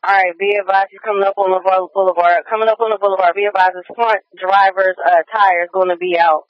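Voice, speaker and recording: A man's voice: high voice, level tone, fast. A man working as a police radio dispatcher, relaying a live pursuit in clipped, repetitive procedural phrases over a radio channel. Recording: dull lo-fi police scanner radio.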